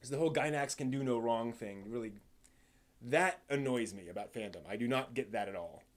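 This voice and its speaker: A man with a mid-range voice talks casually as the host of a live stream. He speaks without a script, in a halting, rambling way, doubling back on his words and letting thoughts trail off. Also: mid-range voice.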